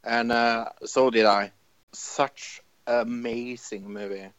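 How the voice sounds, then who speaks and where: Slow-talking man on a dull mic. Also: mid-range voice, steady tone, a bit bright, smooth, dry recording. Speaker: podcast co-host. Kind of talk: casual movie chat.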